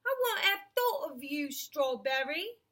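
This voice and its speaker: A woman's voice: high voice, very animated, measured pace, fairly bright tone, exaggerated voice. Woman doing a hearty, emphatic working man cabby. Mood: indignant. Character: plain-spoken, friendly working-class male London cab driver.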